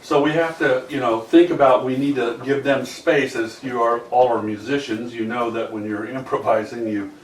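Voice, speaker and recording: Male meeting organizer, halting explainer, informal, off-mic in an echoing room. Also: medium pitch, steady tone. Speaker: group organizer at a planning meeting. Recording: roomy, distant mic, faint room noise.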